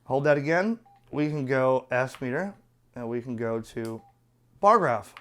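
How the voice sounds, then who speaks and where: A man's voice: medium pitch, expressive, measured pace, a bit bright, smooth. A middle-aged man giving a casual product review in a chatty, opinionated, colloquial way. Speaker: radio reviewer.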